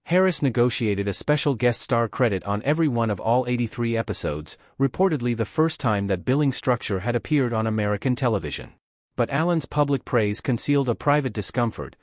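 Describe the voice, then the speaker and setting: A man reading scripted documentary narration in a steady, measured storytelling manner. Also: mid-range voice, no room sound, clean studio sound.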